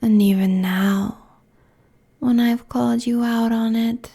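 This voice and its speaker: Woman acting as a cruel ancient demon queen, breathy whisper, super slow n creaky, taunting asmr roleplay right up on the mic. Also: on the high side, fairly even intonation, dark tone, exaggerated voice. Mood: taunting, seductive. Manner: slow, deliberate, taunting, haughty. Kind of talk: ASMR roleplay audio drama. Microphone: close binaural microphone.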